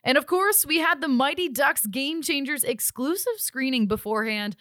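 A woman's voice: high voice, animated, moderate pace, a bit bright, no room sound, studio quality. A woman hosting a hockey show with an upbeat, enthusiastic delivery, giving recaps and asking interview questions. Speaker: sports show host and interviewer.

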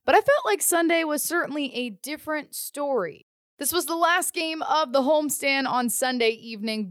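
A woman's voice: high-pitched, clear, resonant tone, no room sound, studio quality. A woman hosting a sports show, delivering a scripted recap of the action.

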